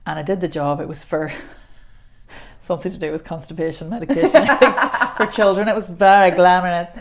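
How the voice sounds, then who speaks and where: A woman, a voice coach chatting as a podcast guest, self-deprecating and quick to laugh. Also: on the low side. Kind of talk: casual podcast conversation.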